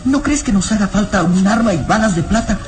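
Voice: medium pitch, lively intonation, fairly quick, a little mellow, close to an everyday voice. A performer playing an earnest young boy, the hero's deferential companion in a radio serial. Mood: anxious. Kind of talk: old radio serial acting.

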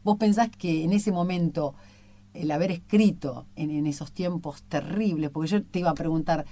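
Low-voiced Argentine woman hosting an interview. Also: moderate pace, warm tone. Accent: Argentine, Rioplatense. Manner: effusive, rambling, long-winded questions. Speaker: radio show host and interviewer. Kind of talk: conversational interview.

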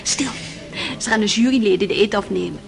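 A woman's voice: mid-range voice, brisk, creaky, natural voice. A woman acting in a radio drama as an indignant, snippy, gossiping respectable spectator. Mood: scandalised. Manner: scandalised, snippy.

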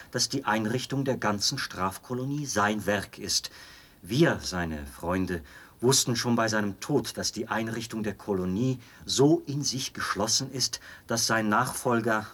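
An actor in a radio play playing a devoted, zealous penal colony officer who explains things in a talkative, pedantic way. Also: male voice, on the low side, fairly bright tone, slightly rough. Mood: proud, nostalgic. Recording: noisy binaural radio play recording with clicks.

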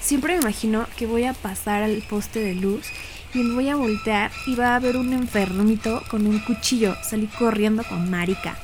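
Woman voicing a high-pitched slangy Mexican teenage boy. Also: normal speed, noisy background, lightly acted. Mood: uneasy. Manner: casual, crude, slangy banter. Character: Andrés, scared, teasing teenage boy at camp. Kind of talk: slangy audio drama dialogue.

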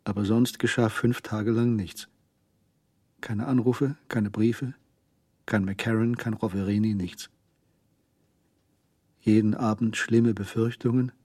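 A man narrating a crime radio drama in a sober, literary manner with measured, clipped phrasing. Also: fairly low pitch, dark tone, breathy edge, no echo, studio quality.

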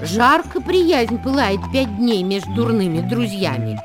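Female, radio-drama verse reciter: deliberate declamation, slow tempo, richly varied melody, music bed underneath. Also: a little high, very animated. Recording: music behind the voice.

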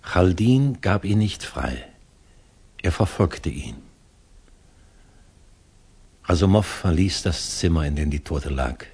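Radio drama narrator, sombre observer: slow, husky, deliberate literary delivery. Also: male voice, deep voice, lively intonation, a little mellow, heavily acted. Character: sombre, grave male storyteller and observer.

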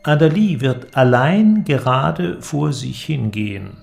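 An actor playing an eloquent, persuasive inventor in a radio drama, with a declamatory, deliberate delivery and ornate phrasing. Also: male voice, medium pitch, animated, creaky, darkish tone, soft background music, heavily acted. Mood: lecturing, enthusiastic. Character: eloquent visionary male inventor, grandiose and persuasive. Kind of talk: German literary radio drama acting.

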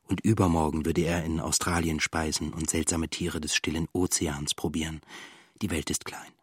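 An actor playing an introspective, reserved composer in a radio drama monologue, speaking in a husky, restrained, reflective voice. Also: male voice, extremely low pitch, flat delivery, normal speed, no room sound, studio quality. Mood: wistful, reserved. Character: introspective, reserved young male composer, quietly bitter.

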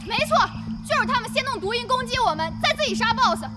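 A woman's voice: extremely high pitch, expressive, fast talker, big-room sound, over music. A woman playing an indignant, accusing young gamer in a Chinese audio drama.

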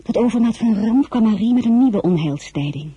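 A very breathy, mellow-voiced crown princess in a radio drama. She is gracious and weary as mistress of the house, soft-spoken, with worry under her words. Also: a little high, expressive, fairly quick, lo-fi sound. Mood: composed, exasperated. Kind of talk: radio drama acting with diary narration.

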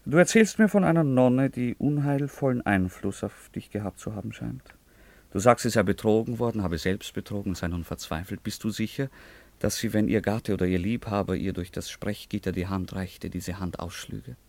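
Worldly young male lover, sceptical yet tender, low gravelly voice, measured theatrical radio-play delivery. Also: darkish tone, no room sound. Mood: compassionate, challenging. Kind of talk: classical German radio drama acting.

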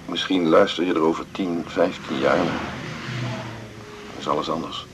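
An actor playing a tender, melancholy man speaking a halting, reflective taped message in a radio drama. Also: sits low, steady tone, background noise, close to an everyday voice. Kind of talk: naturalistic 1980s radio drama monologue.

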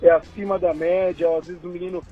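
A high-voiced man, an amateur coach, speaks haltingly and repeats himself. The sound is dull and muffled, with faint music underneath. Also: flat delivery, breathy edge. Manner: hesitant, repeats words. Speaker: amateur football coach, guest. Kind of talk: casual interview chat.

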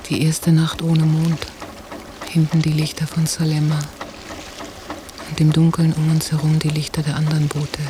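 Watchful, introspective radio drama narrator. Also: female voice, sits low, noisy background. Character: watchful woman on holiday narrating with restrained longing. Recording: 1990 radio drama, music behind.

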